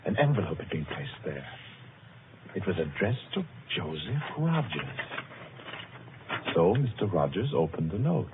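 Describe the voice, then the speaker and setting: A man narrating a scripted 1940s radio thriller in a measured, formal and dramatic manner. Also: low voice, big pitch swings, background noise. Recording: muffled old radio broadcast recording. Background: loud orchestral music.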